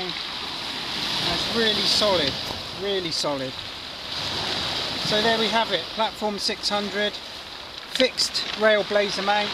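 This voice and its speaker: A middle-aged man giving a casual hands-on gear demo on a pebble beach, explaining step by step with plenty of fillers. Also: sits high. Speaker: kayak angler reviewing gear. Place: outdoors on a pebble beach by the sea. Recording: distant mic with loud wind and surf.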